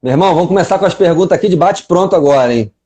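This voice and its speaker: A man's voice: sits high, animated, racing, warm tone. A man hosting a casual interview, talking in a slangy, joking, buddy-like way. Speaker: host.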